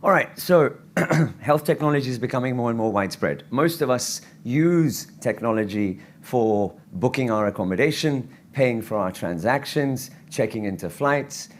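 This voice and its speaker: A man speaking as a physician at a conference lecture, his voice croaky from travel, earnest and given to restarts and fillers. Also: medium pitch, lively intonation, normal speed, slight background noise. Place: conference hall.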